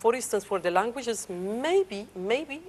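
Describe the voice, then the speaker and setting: Moroccan-accented woman, native Moroccan Arabic speaker, interview guest, halting non-native English with fillers, fairly high voice. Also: big pitch swings, a little mellow, breathy edge. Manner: hesitant, many fillers, broken English. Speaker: singer and guest.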